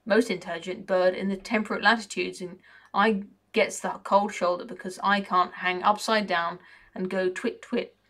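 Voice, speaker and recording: A woman voicing a cynical, chatty male raven who grumbles in rough, colloquial speech. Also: mid-range voice, steady tone, normal speed, a bit bright. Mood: grumbling.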